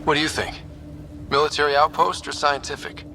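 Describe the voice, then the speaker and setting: An actor playing a young, earnest and easygoing space explorer leader in a video game. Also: male voice, a little high, flat delivery, a little fast, vocal fry, sharp tone, a little hiss or hum. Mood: earnest, resolute. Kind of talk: video game dramatic dialogue.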